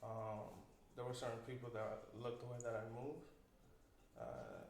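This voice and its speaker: A young man from the Dominican Republic talking casually as a guest about his music, in a relaxed, steady, matter-of-fact way. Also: medium pitch, a little slow, creaky. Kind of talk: casual podcast chat. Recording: roomy, distant mic with faint noise.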